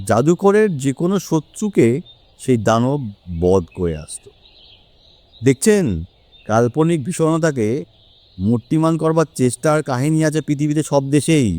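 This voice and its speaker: A man playing a learned detective, deliberate and theatrical as he expounds in an audio drama. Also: fairly high pitch, warm tone, dry recording. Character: learned male detective solemnly expounding an occult mystery. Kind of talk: Bengali audio story dramatic acting.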